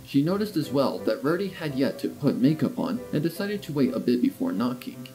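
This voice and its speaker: A man's voice: mid-range voice, big pitch swings, fairly quick, low-quality recording. A man narrating a scripted story as an audiobook-style reader. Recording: soft music behind the voice.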